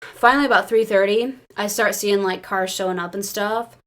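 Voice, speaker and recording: Female co-host, mid-pitched, giggly, excitable casual storyteller. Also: moderate pace. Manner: excitable, giggly, peppers talk with "like". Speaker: podcast co-host.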